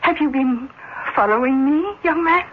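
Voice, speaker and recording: An actress playing a mysterious, gentle lady in a radio drama, speaking in a hesitant, breathless, tender way. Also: high voice, normal speed, lightly acted. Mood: wondering, flattered. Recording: dull, noisy old radio recording.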